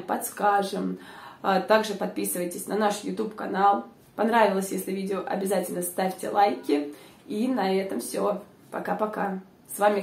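A young woman with a hoarse voice, chatting casually to her viewers with lots of filler sounds, recorded on a lapel mic in a small room. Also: medium pitch, a little mellow, echoey room, distant mic. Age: young adult. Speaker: vlogger. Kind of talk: casual informative vlog.

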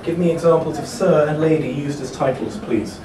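Brisk English male teacher drilling questions. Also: fairly high pitch. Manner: brisk, repeats each question twice and prompts answers. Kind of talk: rapid drill-style language lesson. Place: small classroom. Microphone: camera across the room. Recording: echoey classroom, distant camera, background noise.

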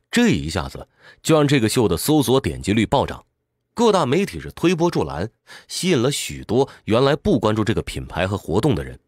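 A man's voice: medium pitch, no echo, studio quality. A man narrates a scripted explainer in a didactic way, posing questions and answering them. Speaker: audiobook summary narrator.

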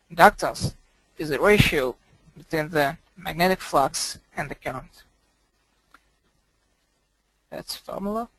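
A man presenting a software tutorial as a screencast voice-over, speaking haltingly in short broken phrases. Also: a little high, vocal fry, slightly rough, no echo, rough recording.

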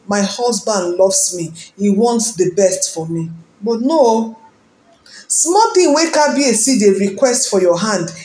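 A woman's voice: medium pitch, warm tone, reverberant. A woman speaking Nigerian-accented Pidgin, hosting celebrity gossip commentary in a dramatic, rhetorical way and calling out to her viewers. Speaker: gossip channel host.

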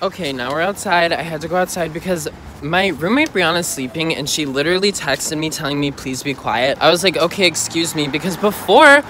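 A man's voice: on the high side, slight background noise. Chatty young man vlogging on the go. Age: young adult. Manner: chatty, lots of "like", excitable. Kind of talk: casual vlog chat. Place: bedroom indoors, then city streets outdoors. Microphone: phone held at arm's length.

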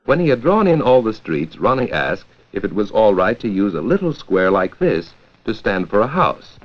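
An American man narrating a 1940s educational film from a script, with a clear, measured storytelling delivery. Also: on the low side, very animated. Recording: dull, lo-fi 1940s 16mm film soundtrack.

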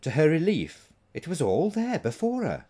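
A man reading a short story aloud as an author, in an expressive, scripted narrating style. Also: fairly high pitch, moderate pace, slightly rough.

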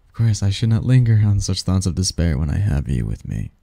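A man plays a possessive male demon lover in a romantic ASMR roleplay. His voice is low and very mellow, with a gravelly creak. He speaks with teasing tenderness and old-fashioned courtliness. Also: big pitch swings, fairly quick, dry recording, heavily acted. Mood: tender, flirtatious.

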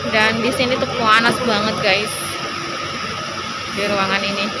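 A woman's voice: mid-range voice, steady tone, unhurried, very noisy. A young woman chatting casually to her viewers on a phone held at arm's length. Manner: chatty, addresses viewers as guys. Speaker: vlogger. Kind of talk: casual vlog narration. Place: crowded indoor stadium.

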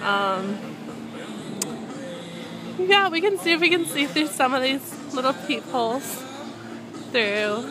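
A woman's voice: high-pitched, lively intonation, takes it slowly, long pauses, rough recording. A young woman chatting casually as she walks through a theme park, filming on a handheld phone and rambling with lots of ums. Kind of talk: casual walking tour commentary. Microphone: handheld phone camera at arm's length.

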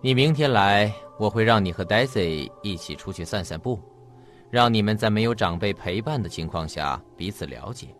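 Man acting middle-aged father, low voice, lively intonation, paternal, uneasy, radio drama. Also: normal speed, soft background music, lightly acted. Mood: reassuring, fatherly.

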